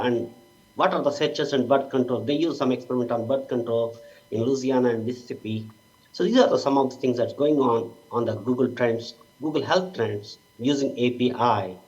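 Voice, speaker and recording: A man finishing an academic presentation, speaking haltingly with loose grammar. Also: mid-range voice. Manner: halting, ungrammatical phrasing. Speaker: conference speaker. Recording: slightly dull audio.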